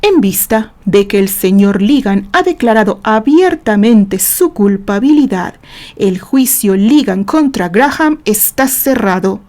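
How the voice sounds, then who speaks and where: A woman's voice: mid-range voice, expressive, a little slow, a little mellow, lightly acted. A woman voicing a weary male judge speaking formally and with authority. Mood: calm.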